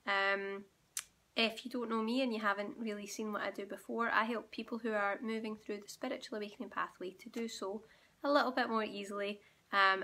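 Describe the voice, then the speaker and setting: A woman with a croaky, sore-throated voice, gently explaining spiritual ideas straight to her viewers while talking at home. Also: mid-range voice. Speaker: spiritual awakening guide and channel host. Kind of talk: direct-to-camera teaching monologue.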